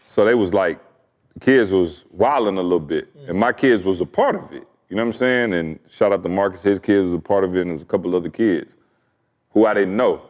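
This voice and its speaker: A man with a deep voice and a stern tone hosting a podcast, telling a lively story and laughing often. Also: a little fast. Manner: animated storyteller, laughs a lot. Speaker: podcast host. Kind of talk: casual chat, storytelling.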